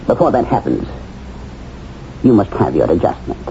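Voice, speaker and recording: A suave, sinister fixer purrs his coldly persuasive lines in a 1970s radio drama. His pitch swoops wildly up and down over a mellow tone. The broadcast sounds muffled, with a faint hiss. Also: sing-song, normal speed, gravelly, full character voice. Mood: coolly menacing. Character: suave sinister man from a face-changing company.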